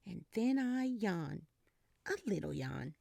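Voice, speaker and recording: A woman hosting children's storytime, reading a picture book aloud in an expressive, animated way with breathy whispered stretches. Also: lowish voice, slow, dry recording, clean studio sound.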